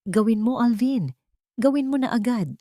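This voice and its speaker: A woman's voice: fairly high pitch, expressive, moderate pace, mellow, no echo, clean studio sound, voice pushed up, lightly acted. A woman narrator voicing a scheming, desperate mother in a dramatic audio story, speaking with urgent insistence. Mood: commanding.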